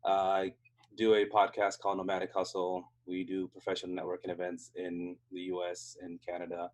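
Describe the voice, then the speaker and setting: A man's voice: low-pitched, monotone, brisk. A man with an American accent speaking casually on an online panel, explaining conversationally, restarting sentences and checking in with "right?". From United States. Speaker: panel speaker, software engineer. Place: hotel room, online call.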